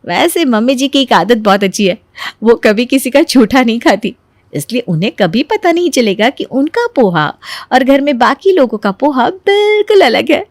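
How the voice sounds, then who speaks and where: A woman voicing a sly, playful young daughter-in-law in a cartoon story, sugary and expressive while feigning innocence. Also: a little high, moderate pace, no room sound, studio quality. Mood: gleeful, scheming. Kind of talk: animated Hindi moral story voice acting.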